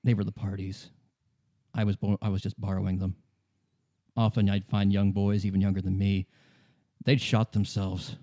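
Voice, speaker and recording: Man playing a low, mellow, weary old deserter. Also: flat delivery. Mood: haunted, reminiscing. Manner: halting, repeating himself, grimly insistent. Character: bitter, weary old communist deserter, defiant ex-commissar.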